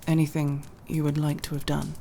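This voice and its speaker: A young woman playing a guarded but tender tomboy friend in an ASMR roleplay, speaking intimately and haltingly, with sincere, teasing, casually sweary delivery. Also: deep voice, monotone, vocal fry, mellow, a little hiss or hum. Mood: soft teasing. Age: young adult. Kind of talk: ASMR roleplay, intimate one-sided dialogue.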